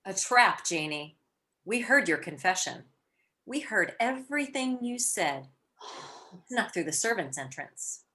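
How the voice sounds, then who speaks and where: A woman's voice: medium pitch, big pitch swings, measured pace, bright, forceful tone. A woman playing a clever, arch young socialite wife in a vintage-style radio play. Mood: brisk, knowing.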